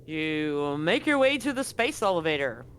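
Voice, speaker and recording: A man's voice: a little high, sing-song, moderate pace, fairly bright tone, clear, resonant tone. A man narrating as game master of a tabletop roleplaying game, often correcting himself mid-sentence as he describes the scene. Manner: rambling, self-correcting narration.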